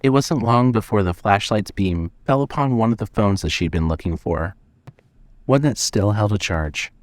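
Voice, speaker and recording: A man's voice: fairly low pitch, lively intonation, moderate pace, dry recording. A man narrating scripted suspense fiction as the storyteller of an audio drama.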